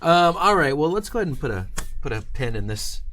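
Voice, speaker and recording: A man's voice: fairly high pitch, very animated, fairly quick, a bit bright, slight background noise. A man hosting a casual livestream interview in an informal, loosely phrased way. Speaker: interview host.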